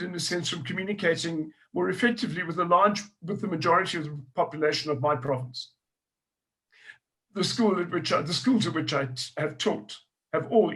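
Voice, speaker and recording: South african guy, kinda high voice, earnest historian, keeps restarting sentences. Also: fairly bright tone, poor audio. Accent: South African English. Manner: thoughtful, earnest, restarts phrases often. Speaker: historian, guest. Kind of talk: panel discussion.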